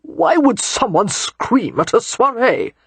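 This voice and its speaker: A grumpy, imperious old nobleman barks his questions with a scowl, as a woman narrator puts him on. His voice rides high and swoops widely, with a husky edge and a dry, close sound. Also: sing-song, slow, no echo, exaggerated voice. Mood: querulous. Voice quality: demanding, scowling. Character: querulous elderly male earl, imperious grandfather.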